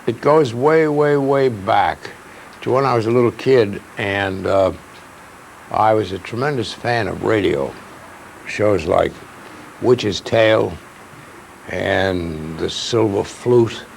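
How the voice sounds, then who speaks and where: Creaky-voiced older man, fairly low. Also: poor audio. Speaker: veteran radio actor interviewed. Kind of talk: reminiscing interview. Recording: noticeable background noise.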